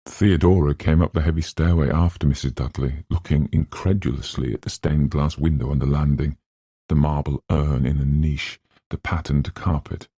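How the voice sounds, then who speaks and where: A male audiobook narrator reads literary prose. His voice is low and dark with a husky grain. The recording is dry, with no sense of a room. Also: fairly even intonation, normal speed, no room sound.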